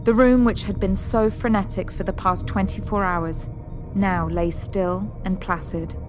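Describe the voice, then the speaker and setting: A woman's voice: medium pitch, fairly even intonation, measured pace, music in the background. A woman narrator reading scripted, descriptive narration for an audio drama.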